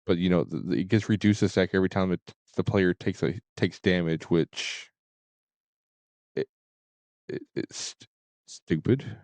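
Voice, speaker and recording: Low-voiced man, casual game streamer. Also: fairly even intonation, a little mellow, dry recording. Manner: halting, repeats and stutters on words.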